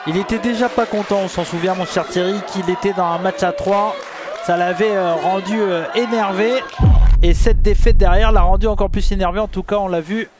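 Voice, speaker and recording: Chatty high-voiced wrestling commentator from Brittany. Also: male voice, normal speed. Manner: lively, chatty, often addresses co-host. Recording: loud crowd and music behind.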